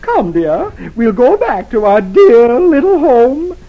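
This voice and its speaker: A man voicing a furious middle-aged wife who screeches her lines in a shrill voice. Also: sing-song, slow, highs cut off, full character voice. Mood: screeching.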